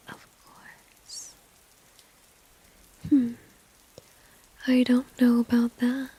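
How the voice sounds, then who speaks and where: Breathy whispering flirty villainess played by a woman. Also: mid-range voice, warm tone, dry recording, full character voice, rough recording. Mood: teasing, intrigued. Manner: slow, coy, mocking, drawn-out teasing. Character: flirty, dominant female villain, teasing and condescending. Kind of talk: whispered ASMR roleplay.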